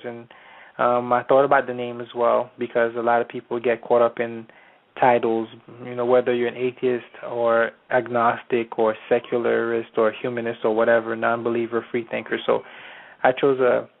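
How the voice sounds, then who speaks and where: A man hosting an internet radio show, introducing his guest in a hesitant, casual way with many pauses. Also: medium pitch, vocal fry. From Haiti. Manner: hesitant, many ums and restarts. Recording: tinny phone-line audio.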